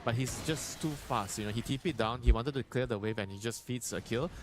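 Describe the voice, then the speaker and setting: A man's voice: mid-range voice, brisk. Male co-commentator for esports, average register, explains and judges plays conversationally, with music underneath. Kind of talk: conversational match analysis.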